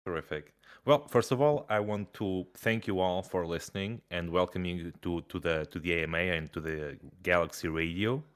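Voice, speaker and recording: A man from Portugal, a company executive, talking in relaxed, casual interview style with easy laughs and fillers. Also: sits low, normal speed, clear, resonant tone. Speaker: CEO.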